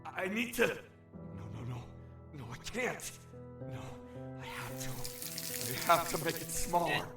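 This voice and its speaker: An anxious young shapeshifter muttering to themself in an audio drama. The voice is on the high side for a male, fretful and halting, in a roomy echo with strong music underneath. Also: sing-song. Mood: panicked, distressed. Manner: fretful, halting, self-talking. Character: young shapeshifter from another realm, anxious, disguised as a girl.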